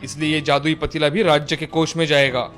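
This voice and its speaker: A man's voice: fairly high pitch, level tone, fairly quick, fairly bright tone, soft background music, lightly acted. A man voicing the male characters of a moral folktale, in the manner of a children's cartoon story. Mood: assertive. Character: men of a moral folktale: labourer, landlord, greedy king.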